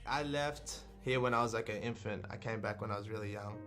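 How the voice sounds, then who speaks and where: A man's voice: lowish voice, brisk, a bit bright. A young man whose first language was Thai, a hip hop artist speaking thoughtfully in an interview. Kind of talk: reflective interview. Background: music playing.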